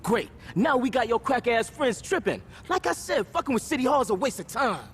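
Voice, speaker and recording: An actor playing an agitated, defiant, aggressive street gangster in a video game, slangy, with an American accent. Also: male voice, extremely high pitch, very animated, fairly quick, in a large hall, slight background noise.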